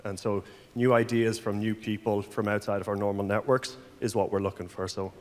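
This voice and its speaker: A man's voice: fairly low pitch, flat delivery, brisk. A man in his forties with an Irish accent, speaking conversationally on a conference panel. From Ireland. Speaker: panelist, senior director. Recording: long hall reverb through PA.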